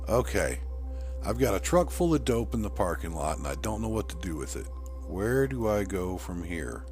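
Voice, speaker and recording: Male narrator as nervy, self-scolding down-and-out guy, audiobook dialogue, very animated intonation. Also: deep voice, moderate pace, faint music behind. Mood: anxious. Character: jittery down-on-his-luck man arguing with himself.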